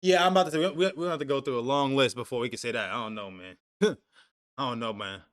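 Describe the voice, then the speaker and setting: A man's voice: mid-range voice, fast talker, fairly bright tone, clean tone. A young man co-hosting a casual anime discussion stream, profane and loose, reading chat messages aloud and restarting his sentences. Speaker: stream co-host.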